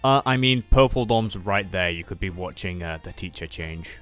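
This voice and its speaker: Man acting as weary sarcastic student, low voice, hesitant and rambling, improvised anime roleplay, music behind. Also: normal speed, a bit bright. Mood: casual, awkward. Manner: hesitant, rambling, muttering asides. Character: world-weary, sarcastic male duel-school student in final year.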